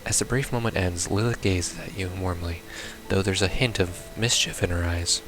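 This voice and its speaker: A man's voice: sits low, moderate pace, background noise. A man giving casual let's-play commentary on a visual novel, reading text aloud and reacting in a wry, offhand way. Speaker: gamer playing a visual novel.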